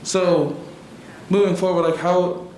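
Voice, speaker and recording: A man speaking conversationally on a town hall panel, reflective and pausing mid-thought. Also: sits high, steady tone, darkish tone. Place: echoey room at a town hall forum. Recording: echoey room, faint noise.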